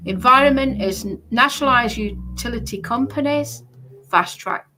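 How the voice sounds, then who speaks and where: A British woman presenting informally, reading a list aloud in a halting, explanatory way and adding casual asides. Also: fairly high pitch, sharp tone, slight background noise, low-quality recording. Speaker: presenter going through party policies.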